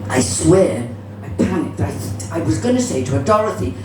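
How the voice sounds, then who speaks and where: A woman's voice: deep voice, animated, moderate pace, over music. A middle-aged woman with a Cardiff Welsh accent performing live stand-up comedy in a chatty, bawdy manner, swearing freely. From Cardiff, Wales. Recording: roomy, distant, noisy live venue recording.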